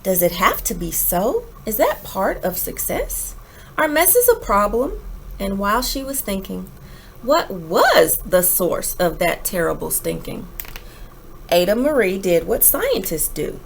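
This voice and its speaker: A woman reading a children's storybook aloud like a teacher, with dramatic pauses and emphatic phrasing. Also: medium pitch, sing-song, gravelly, slight background noise, rough recording. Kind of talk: expressive children's read-aloud, rhyming storybook narration.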